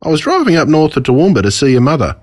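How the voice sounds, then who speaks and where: Man voicing an irritable Australian dad, animated pitch. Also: rapid-fire, no room sound, clean studio sound. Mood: reminiscing. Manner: exclamatory, blunt. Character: irritable, plain-spoken Australian dad, polite to policemen.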